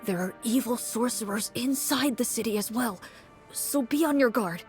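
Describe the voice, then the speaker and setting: Worried young townsperson for a game woman acting, high-pitched, earnest, polite and a little hesitant. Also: moderate pace, faint music behind, close to an everyday voice. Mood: earnest warning. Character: earnest, worried young city dweller pleading for help.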